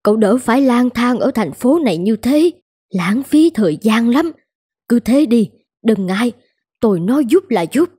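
An actress playing a kindly, brisk older woman who runs a noodle shop in a cartoon, plain-spoken and reassuring. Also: fairly high pitch, expressive, dark tone, breathy edge, no room sound, studio quality. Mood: firm, encouraging. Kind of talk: animated moral-tale voice acting. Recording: music under the voice.

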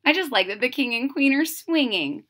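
Expressive, high-voiced woman reading to children. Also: normal speed. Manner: expressive, exaggerated delivery. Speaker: storyteller reading a picture book. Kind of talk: animated children's read-aloud with casual asides. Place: small room at home, indoors.

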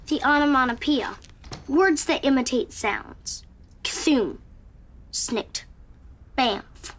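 A man's voice: very high voice, slow, a bit bright, exaggerated voice. A boy actor playing a fussy, pedantic genius child in a sitcom, talking in a precise, formal way. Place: comic book store.

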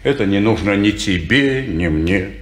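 A sardonic cynical ex-lover. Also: male voice, on the low side, lively intonation, measured pace, reverberant. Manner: dry, sardonic. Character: cynical detached man, former lover.

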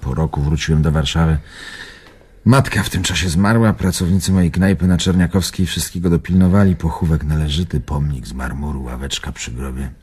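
An actor playing an old Warsaw tavern owner and spirited war survivor in a radio drama, earthy and raspy, reminiscing in clipped phrases. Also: male voice, very deep voice, fairly even intonation, a little fast, darkish tone, exaggerated voice. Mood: gruff, reminiscing. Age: older. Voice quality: gravelly, raspy.

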